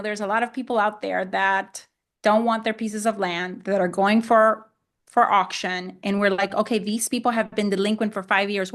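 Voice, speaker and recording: A woman's voice: mid-range voice, a bit bright. A middle-aged woman from Honduras, a native Spanish speaker, talking conversationally as an interview guest and often repeating and rephrasing herself. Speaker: podcast guest, land investor.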